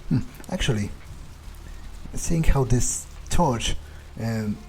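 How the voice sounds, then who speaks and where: A man's voice: mid-range voice, lively intonation, takes it slowly, a little mellow, a little hiss or hum, poor audio. A man playing a cynical, pragmatic vampire in a video game, thinking aloud in a musing, hesitant, casually profane way. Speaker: player doing an in-character playthrough. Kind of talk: improvised in-character thinking aloud over gameplay.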